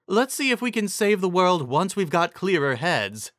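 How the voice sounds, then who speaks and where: A man's voice: high-pitched, very animated, moderate pace, a bit bright, no room sound, clean studio sound, voice pushed up. A man voicing a perky, sensible female pony who takes charge in an eager, encouraging way. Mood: decisive.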